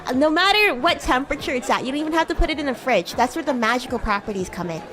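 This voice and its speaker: Woman voicing a high-pitched bratty young demon girl. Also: expressive, rapid-fire, music in the background. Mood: chatty. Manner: teasing, stammering, defensive. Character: bratty, flustered young female demon classmate, playfully haughty. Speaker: VTuber streamer. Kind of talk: improvised livestream roleplay.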